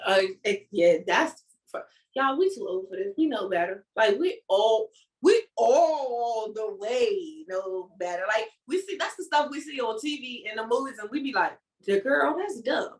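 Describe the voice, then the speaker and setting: Co-host, woman with a higher voice, emphatic and agreeing, picked up off-mic in a roomy space. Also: lively intonation, unhurried, far from the mic. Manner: agreeing, emphatic, repeats for stress. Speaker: podcast co-host. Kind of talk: casual chat.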